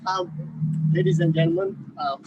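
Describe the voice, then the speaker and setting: A man's voice: a little high, fairly even intonation, normal speed, highs cut off. Distant man telling a story at a ceremony. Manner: chatty, loose, many fillers. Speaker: guest speaker at a ceremony. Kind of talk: informal speech, storytelling. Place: ceremony at a statue. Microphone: no microphone, far from the recorder. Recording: distant voice, faint background noise.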